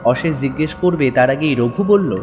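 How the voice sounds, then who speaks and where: A man's voice: mid-range voice, normal speed, a little mellow, faint music behind. A man narrating a scripted horror story in a dramatic style.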